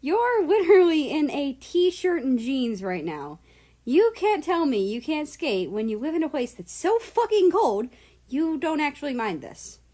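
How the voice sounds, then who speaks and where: A woman's voice: high voice, big pitch swings, unhurried, warm tone, pitched above the performer's own voice. A woman voicing a cocky, teasing young man in a read-aloud story. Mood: teasing disbelief.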